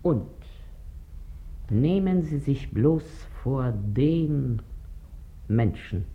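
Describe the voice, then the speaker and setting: A woman playing a shrewd, talkative middle-aged washerwoman in a radio play, folksy and flattering, confiding with theatrical emphasis. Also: very deep voice, very slow, heavily acted. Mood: confidential, cautioning. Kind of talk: 1950s German radio play acting. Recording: dull, band-limited 1950s radio recording.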